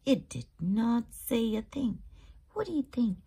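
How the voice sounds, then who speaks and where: Slow, expressive woman reading to young children. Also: a little high, vocal fry, slightly rough. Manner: expressive, explains and asks questions of young listeners. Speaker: storybook reader and channel host. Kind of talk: read-aloud narration for young children.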